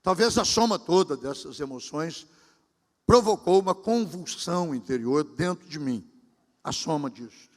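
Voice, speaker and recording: A man's voice: a little high, animated, measured pace. A pastor in his sixties giving a sermon, talking conversationally and speaking directly to his congregation. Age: 60s. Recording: long hall reverb.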